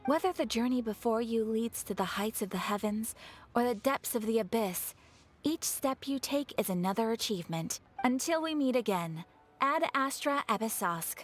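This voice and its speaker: A woman voicing a calm, formal and courteous receptionist in a video game. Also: on the high side, normal speed, faint music behind. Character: polite, formal young female guild receptionist.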